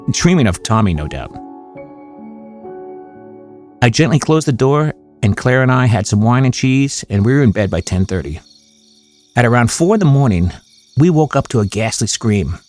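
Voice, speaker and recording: A man playing a small-town husband and father, narrating his memories in a measured, conversational way for a horror audio drama. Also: medium pitch, darkish tone, close to an everyday voice. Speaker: first-person narrator. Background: music.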